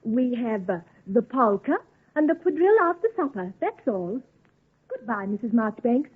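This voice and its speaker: Casting: proper young sweetheart, strongly husky, animated, narrow vintage radio sound. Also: female voice, fairly high pitch, moderate pace. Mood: icily polite. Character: proper, proud young society lady, hurt sweetheart. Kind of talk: old-time radio drama acting. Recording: tinny, narrow old radio recording.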